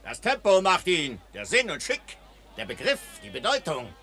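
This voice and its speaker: A bright, animated voice that rises and falls often. a snobbish cavalryman in a radio play, haughty and teasing toward other soldiers. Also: a little high, normal speed, full character voice. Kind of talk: classic radio drama.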